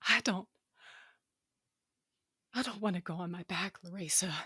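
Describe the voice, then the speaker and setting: Actress as dying female fighter, slow halting delivery, weak breath, defiant, blunt, loving, close dry audio drama sound. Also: on the low side, sharp tone, slightly rough, no room sound, full character voice. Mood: weak, tender defiance. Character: dying foul-mouthed warrior sister, defiant and loving.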